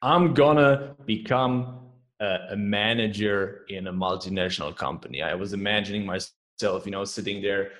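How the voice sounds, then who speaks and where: Man, German accent, young adult, lowish pitch, enthusiastic, casual podcast guest. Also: moderate pace, fairly bright tone. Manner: upbeat, enthusiastic, repeats "man" and "right?". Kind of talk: casual interview chat.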